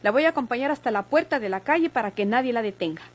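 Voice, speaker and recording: An actress playing a composed, firm nurse in a Mexican radio soap, with formal, deliberate diction and a Mexican accent. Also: female voice, a little high, animated. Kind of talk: Mexican golden-age radio soap acting.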